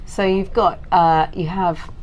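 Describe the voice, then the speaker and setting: A woman's voice: fairly low pitch, fairly even intonation, takes it slowly, highs cut off. A woman giving detailed, technical explanations as the guest in an interview.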